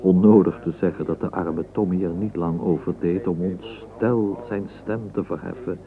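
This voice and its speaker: A man's voice: low-pitched, fairly even intonation, moderate pace. A man narrating a literary radio play. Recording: muffled phone-like old radio recording, hissy.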